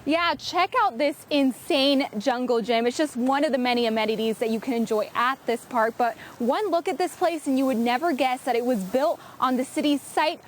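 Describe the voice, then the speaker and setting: A woman's voice: high-pitched, normal speed, hardly any pauses, slight background noise. A woman working as a TV news reporter, delivering a scripted local story. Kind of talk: scripted news package narration and live report.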